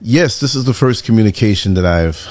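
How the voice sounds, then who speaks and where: A man hosting his own show, reading a news article aloud in a sleepy, low-key voice with dry sarcastic asides. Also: animated, brisk, a little mellow, no room sound. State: just-woken sleepy voice. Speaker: podcast host.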